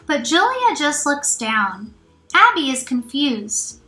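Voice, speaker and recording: A woman reading a storybook aloud as a teacher would, expressive and child-directed, with encouraging asides. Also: high voice, slow. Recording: roomy echo, faint music.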